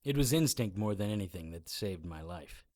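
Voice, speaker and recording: An actor playing a grim, deadpan occult investigator who narrates his own adventure in a noir audio drama. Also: fairly low pitch, moderate pace, very noisy, natural voice. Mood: grim, tense.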